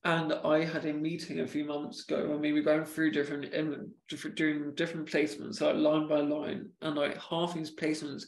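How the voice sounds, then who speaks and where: A man chatting casually on a podcast, speaking in a halting way with repeated words from a stammer. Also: on the high side, completely flat delivery, echoey room, poor audio.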